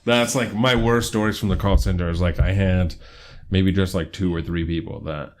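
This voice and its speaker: A man in his twenties with a notably deep, low-pitched voice, chatting on a podcast. He tells his stories loosely, laughs often and swears without a second thought. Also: moderate pace. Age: 20s. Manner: animated storytelling, laughs often, swears freely. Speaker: podcast co-host. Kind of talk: casual chat.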